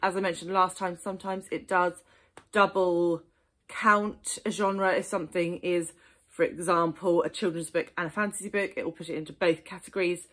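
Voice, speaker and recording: A woman's voice: lowish voice, steady tone, moderate pace, a bit bright. A woman, chatting enthusiastically through her monthly book reviews with frequent ums and small laughs. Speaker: book vlogger. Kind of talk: casual book review monologue.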